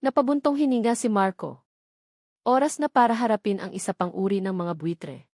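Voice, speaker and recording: A woman's voice: medium pitch, normal speed, darkish tone, dry recording. Woman narrating a dramatic love story, dry sound.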